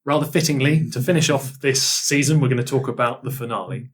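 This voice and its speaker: A man's voice: mid-range voice, fairly quick. A man who co-hosts a podcast, looking back in casual, enthusiastic and emphatic conversation. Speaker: podcast co-host and role-play performer. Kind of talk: casual retrospective chat.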